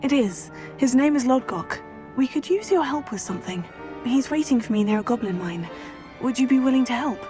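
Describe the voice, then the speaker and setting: Practical teenage witch, composed, inquisitive, slightly high voice, fantasy game dialogue. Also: expressive, a little fast, darkish tone. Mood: calm, friendly. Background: faint background music.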